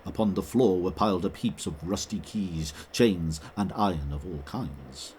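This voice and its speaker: A man giving solemn, measured scripted narration for an audio drama. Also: low voice, a little mellow, a little hiss or hum.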